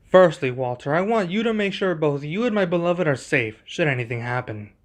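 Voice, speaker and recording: A man playing a composed, earnest young king in an ASMR roleplay, speaking in a measured, formal way. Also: fairly high pitch, lively intonation, lightly acted. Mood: calm, resolute.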